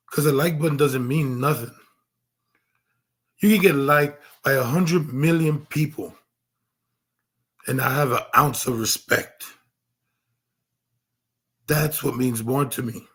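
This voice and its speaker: Hoarse man losing his voice. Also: mid-range voice, slow pace, pauses often, fairly bright tone. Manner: earnest, rambling with many restarts and fillers. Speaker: streamer. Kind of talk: casual livestream monologue.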